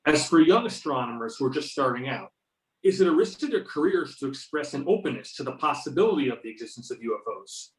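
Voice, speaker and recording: A man's voice: mid-range voice, big pitch swings, fast talker, natural voice. A man playing a curious, polite interviewer, reading scripted questions in an amateur drama. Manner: polite, inquiring. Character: male interviewer, curious, politely probing the UFO expert. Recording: echoey room, distant from the mic.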